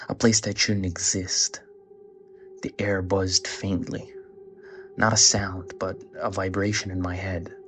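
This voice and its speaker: Over clear background music, a man voices a brooding, haunted storyteller giving first-person horror narration. His voice is very deep and stays flat, with a slow, measured and somber delivery.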